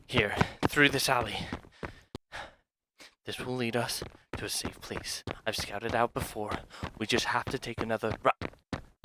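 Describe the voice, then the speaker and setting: A man's voice: on the low side, background noise. A man playing an eager, obsessive young thief in an ASMR roleplay, speaking in an urgent, stammering, clingy way.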